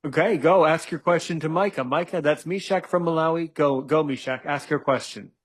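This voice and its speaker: A man's voice: on the high side, brisk. A man hosting a casual live interview show, often repeating his words and phrases as he directs the conversation. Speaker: show host.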